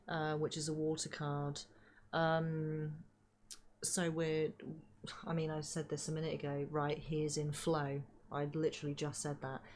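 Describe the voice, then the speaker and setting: A woman giving a casual tarot reading, chatty with many fillers, her voice a little hoarse as she loses it. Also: sits low, darkish tone. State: losing her voice. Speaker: tarot reader.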